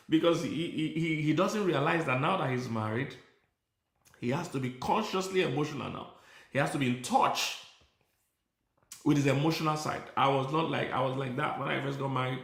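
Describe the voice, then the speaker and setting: A man's voice: a little high, normal speed, gravelly, long pauses, a bit bright, roomy sound. A middle-aged male pastor giving a conversational sermon, now and then breaking off to talk to his tech team. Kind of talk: sermon teaching.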